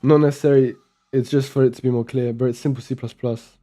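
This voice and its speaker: A man's voice: medium pitch, level tone, fast talker, dark tone, soft background music. A young man from Romania, casually commenting while live coding and muttering code aloud as he types, with chatty asides. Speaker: programming streamer.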